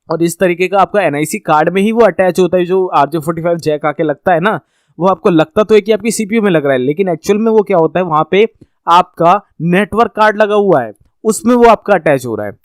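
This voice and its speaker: A man with a high voice gives a casual classroom-style explanation, talking straight to his viewers. He drops English terms into his Hindi sentences. The sound is close and dry, with no room around it. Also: a little fast, dry recording. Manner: conversational teaching, addresses viewers directly, mixes English terms into Hindi. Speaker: networking course instructor. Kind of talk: explaining slides in a recorded lesson.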